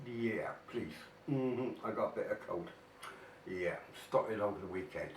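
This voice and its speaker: An older man, talking casually through weekly questions while he has a cold, with many ums and mm-hmms. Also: lowish voice. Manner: slow, chatty, frequent mm-hmms and ums. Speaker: vlogger. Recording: echoey room, mic at a distance, faint noise.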